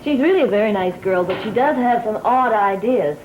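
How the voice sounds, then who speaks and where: An actress playing a doting, trusting daughter in a gothic soap opera, solicitous and gently insistent in manner. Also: female voice, mid-range voice, lively intonation, a little fast, noisy background, close to an everyday voice. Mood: chatty. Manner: solicitous, bright-spirited, insistent. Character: doting, trusting daughter, happily sure of herself. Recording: dull vintage TV soundtrack, loud music.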